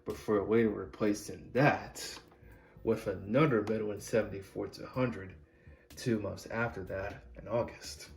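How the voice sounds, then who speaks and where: Guy w low gravelly voice showing off his collection, kinda halting, faint music behind. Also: steady tone. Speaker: video creator presenting a collection. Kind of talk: casual product showcase narration.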